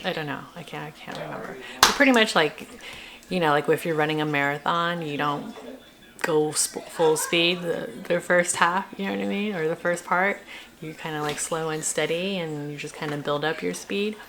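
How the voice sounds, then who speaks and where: Low-voiced woman chatting casually. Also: gravelly, background noise. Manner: informal, halting, thinking aloud. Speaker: homeschooling parent and video blogger. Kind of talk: casual reflective vlog chat.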